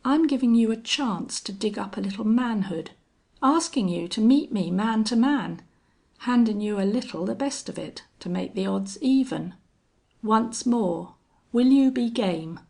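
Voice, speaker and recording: A woman's voice: on the high side, measured pace, vocal fry, exaggerated voice. A woman voicing a cold, contemptuous older male gunfighter in a western audiobook, steely and taunting. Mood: cold challenge. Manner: strange monotone, taunting, cold. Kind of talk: audiobook character acting.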